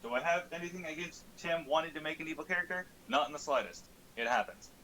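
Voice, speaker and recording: A man's voice: fairly high pitch, quick pace, low-quality recording. A man in a casual, informal chat, recapping a tabletop game as a player and swearing lightly. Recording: steady audible background noise.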